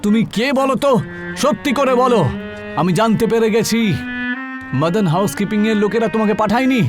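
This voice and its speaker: A man's voice: high-pitched, moderate pace, natural voice. A male actor playing an agitated, deceitful husband in a horror story. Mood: angry, alarmed. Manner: agitated, insistent. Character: deceitful husband, agitated, then terrified and pleading. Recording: music bed behind the voice.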